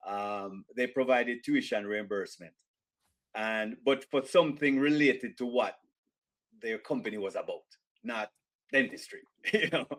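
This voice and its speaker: A middle-aged man with a Jamaican accent, answering interview questions in a measured, reflective way, often pausing with fillers and restarts. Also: mid-range voice, a bit bright. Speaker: interview guest, author.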